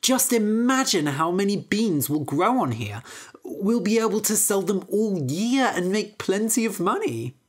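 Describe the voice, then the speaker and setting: A woman's voice: mid-range voice, very animated, a little slow, voice pushed up. A woman voicing a naive, dutiful young man who speaks earnestly and plainly, close to her own voice.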